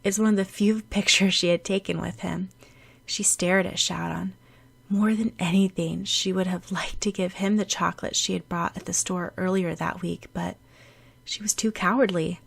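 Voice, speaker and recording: A woman's voice: lowish voice, steady tone, moderate pace, gravelly, breathy edge. A woman narrating a story expressively as a scripted audiobook.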